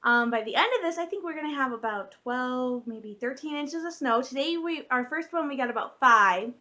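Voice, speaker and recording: Bright, high-voiced chatty woman. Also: normal speed. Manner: chatty and rambling, with frequent fillers and laughs. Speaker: vlogger. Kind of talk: casual chat to camera.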